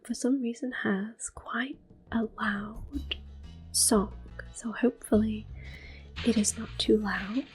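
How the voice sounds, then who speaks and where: Soft whispery woman, game music behind. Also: mid-range voice, low-quality recording. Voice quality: soft, breathy, often whispered. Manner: gentle, unhurried. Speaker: gameplay host. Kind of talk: soft-spoken ASMR game commentary. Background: video game music.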